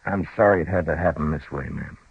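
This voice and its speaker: Deep-voiced steady frontier marshal. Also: male voice, brisk, vocal fry, breathy edge, no room sound, heavily acted. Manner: calm, clipped western drawl. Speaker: lead of the radio western. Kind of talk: 1950s radio western acting. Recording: muffled old radio broadcast with hiss.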